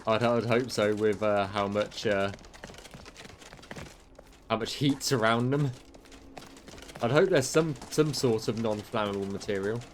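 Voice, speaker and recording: A man's voice: lowish voice, measured pace, long pauses, a little hiss or hum. A man, a game mod developer chatting casually on a livestream, rambling and answering chat with many fillers, his voice getting tired. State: losing his voice.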